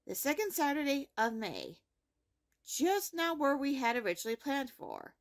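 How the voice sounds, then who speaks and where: A very high female voice with lively swings in pitch, a woman acting as a caring, orderly high school principal. She delivers measured morning announcements for a fantasy web series and speaks straight to her students. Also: exaggerated voice.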